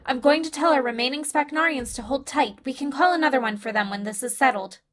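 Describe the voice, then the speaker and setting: A woman playing a brisk, resolute space crew member in a science-fiction audio drama, decisive and matter-of-fact. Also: high voice. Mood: urgent, decisive.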